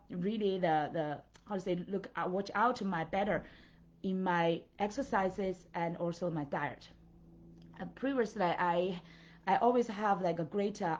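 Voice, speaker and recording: A woman's voice: medium pitch, lo-fi sound. A middle-aged woman from China with a Chinese accent, a coach giving a motivational talk, full of fillers and often checking in with "right?" and "okay?". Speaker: life and business coach.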